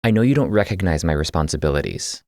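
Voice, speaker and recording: A man's voice: low-pitched, lively intonation, quick pace, a little mellow, dry recording, clean studio sound. A man voicing a guarded, duty-bound keeper of keys who reasons firmly but civilly in an audiobook. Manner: defensive, reasoning, restrained. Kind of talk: audiobook character dialogue.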